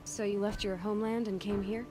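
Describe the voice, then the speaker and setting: An earnest young woman hunter , voiced by an actress with a middle-pitched voice. She is direct and inquisitive, with a dry edge, as a game heroine. Music runs clearly behind her. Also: little pitch movement, fairly quick, close to an everyday voice. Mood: curious, direct. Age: young adult. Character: earnest, determined young female machine hunter. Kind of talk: video game character acting. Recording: music under the voice.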